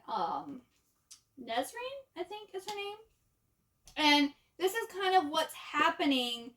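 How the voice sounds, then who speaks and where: Female book vlogger, high-pitched, casual conversational delivery, informal and chatty. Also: a bit bright. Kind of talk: casual chat to camera.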